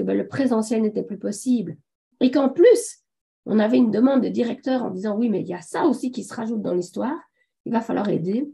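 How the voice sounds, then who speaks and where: A woman with a hoarse voice from a sore throat, enthusiastically and a little haltingly presenting a live online webinar as host. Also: on the high side, warm tone. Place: online video conference.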